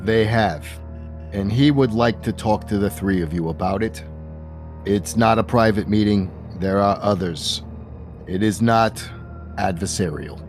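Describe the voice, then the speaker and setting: A man's voice: fairly low pitch, measured pace, a little mellow. A man improvising narration and dialogue as game master of a tabletop role-playing game, with music behind him.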